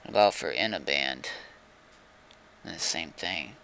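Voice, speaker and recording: A man's voice: a bass voice, expressive, measured pace, gravelly, sharp tone, a little hiss or hum, rough recording. A man chatting casually on a gaming livestream, rambling and thinking aloud as he goes.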